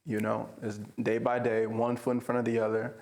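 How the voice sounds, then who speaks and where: A man in his twenties, a guest in a casual podcast chat. He speaks in a relaxed, reflective way and fills his talk with "you know.". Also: mid-range voice, fairly quick. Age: 20s. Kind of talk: casual conversation.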